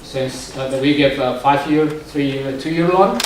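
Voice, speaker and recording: A man explaining a rural electrification scheme, halting with fillers and restarts. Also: medium pitch, a little hiss or hum. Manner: halting, repeats words. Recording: echoey, distant mic.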